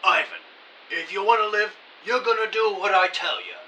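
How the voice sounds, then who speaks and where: An actor playing a short, plump, middle-aged gangster who barks threatening orders in old-time mobster slang in an audio drama. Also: male voice, sits high, normal speed, pushed and bright. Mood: menacing. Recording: slightly distant, hissy home recording.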